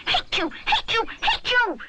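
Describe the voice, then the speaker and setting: A woman's voice: very high voice, huge pitch swings, measured pace, very noisy, pitched above the performer's own voice, full character voice. A woman voicing a furious spiky little male creature who squawks and screams.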